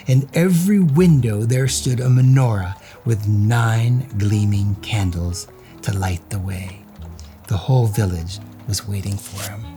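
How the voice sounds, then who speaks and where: A man's voice: fairly low pitch, vocal fry. A man reading a children's story aloud as host, in a theatrical, suspenseful way.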